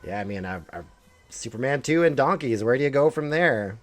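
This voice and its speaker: A man's voice: mid-range voice, lively intonation, fairly quick, soft background music. A man streaming a video game live, reading options aloud and joking sarcastically.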